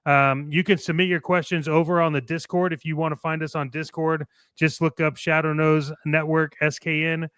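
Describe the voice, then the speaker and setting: A man's voice: on the high side, little pitch movement, moderate pace, few pauses, a bit bright, clean tone, dry recording. A man co-hosting a toy collecting show, playful and enthusiastic, moving between ad reads and casual banter.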